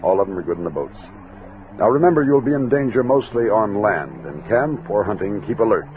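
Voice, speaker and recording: Actor as low-voiced army captain, old radio. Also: male voice, expressive, a little fast, phone-quality sound, lightly acted. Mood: steady, commanding. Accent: American. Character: steady, commanding army captain leading a frontier expedition. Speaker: lead actor and narrator. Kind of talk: old-time radio drama acting. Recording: thin, noisy vintage radio recording.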